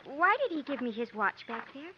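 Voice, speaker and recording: A proud, frightened young woman in a golden-age radio play. Her voice is high and genteel, with a guarded, dramatic delivery. The old broadcast sounds dull and slightly noisy. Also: animated, fairly quick. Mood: wary, softening. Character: frightened, proud, mistrustful young lady on the run. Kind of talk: 1950s radio comedy-drama acting.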